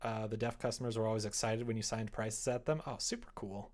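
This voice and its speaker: A man's voice: fairly low pitch, fairly even intonation, quick pace, darkish tone. A young man with an American accent chats casually on a livestream, rambling expressively as he reacts to comments. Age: young adult. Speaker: live streamer. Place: indoor room at a desk.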